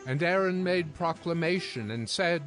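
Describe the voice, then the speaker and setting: Guy narrating a bible audio drama, voice a bit high, music going behind him. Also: expressive, normal speed, a bit bright. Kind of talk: scripted scripture narration.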